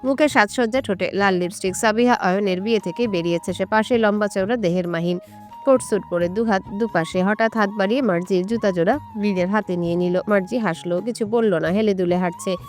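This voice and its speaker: A woman's voice: mid-range voice, fairly quick. Woman narrating romantic fiction over music. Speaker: story narrator. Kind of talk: scripted romantic audio-story narration. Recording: music bed under the voice.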